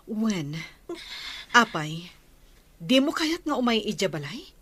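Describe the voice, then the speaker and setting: An actress playing a caring, take-charge medical professional in an Ilocano radio drama, firm and reassuring. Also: female voice, mid-range voice, big pitch swings, slow, fairly bright tone, slightly rough, exaggerated voice.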